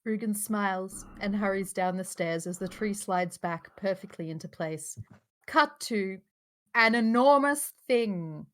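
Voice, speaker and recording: A woman's voice: mid-range voice, measured pace. A woman reading stage directions as narrator at a live table read, in a matter-of-fact manner.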